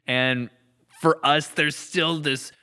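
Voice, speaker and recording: A man's voice: fairly high pitch, steady tone, takes it slowly, bright, forceful tone, clean tone. A man co-hosting a podcast, chatting casually with playful asides. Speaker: podcast co-host, production creator. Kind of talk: casual conversational podcast chat.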